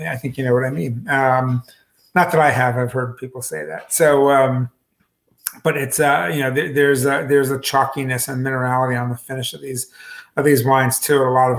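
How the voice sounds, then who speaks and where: A man's voice: medium pitch, fairly bright tone. A middle-aged man hosting a wine club talk over a video call from his kitchen, speaking casually with many fillers and restarts. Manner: halting, many fillers and restarts, gestures with hands. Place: home kitchen. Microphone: video call webcam.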